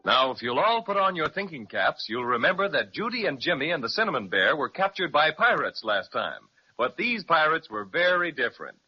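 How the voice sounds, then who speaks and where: A man's voice: a little high, very animated, a little fast, few pauses, husky. A man narrating a 1930s children's radio serial, speaking directly to young listeners in a storytelling style. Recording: muffled 1930s radio transcription.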